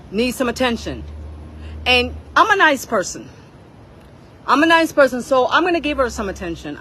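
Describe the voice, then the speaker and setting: A woman's voice: a little high, pushed and bright, a little hiss or hum. A woman on a casual livestream rant, confrontational and swearing, repeating phrases for emphasis.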